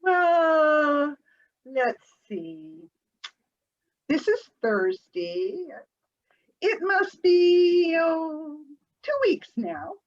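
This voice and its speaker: A woman's voice: high-pitched, very animated, very slow, pauses often, exaggerated voice. A woman reading a giggly, flighty housewife. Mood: airy. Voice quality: quavering, giggly delivery. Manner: chattery and airily evasive. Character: flighty, evasive middle-aged housewife, breezily unconcerned. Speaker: reader in an amateur readers theater performance. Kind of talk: comic readers theater scene read over a video call. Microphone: home video call mic. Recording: lo-fi video call audio.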